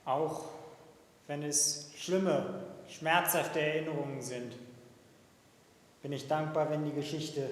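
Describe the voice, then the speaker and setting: A young man voicing a weary young Ukrainian prisoner of war in a slow, halting testimony reading. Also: fairly high pitch. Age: young adult. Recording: echoey hall recording.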